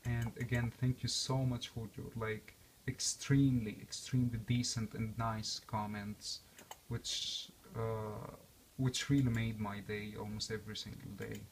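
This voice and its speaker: Young man with an accent, gravelly tone, relaxed chatty vlogger who rambles and restarts. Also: on the low side, a little slow, slight background noise, poor audio. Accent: non-native English accent. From born and raised outside the US. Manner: rambling with many fillers and restarts. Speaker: vlogger reviewing a game console. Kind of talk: casual unscripted walkthrough.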